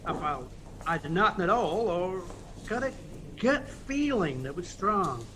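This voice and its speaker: A man's voice: high-pitched, expressive, measured pace, bright, forceful tone, slight background noise, exaggerated voice. A man acting in an audio play as an earnest, reflective embassy official with a conversational manner.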